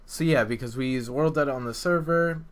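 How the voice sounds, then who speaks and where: A man's voice: mid-range voice, brisk. A man giving casual, unscripted commentary as a game developer, explaining things in a hesitant, rambling way. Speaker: video game map developer and video maker. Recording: clear background noise with clicks.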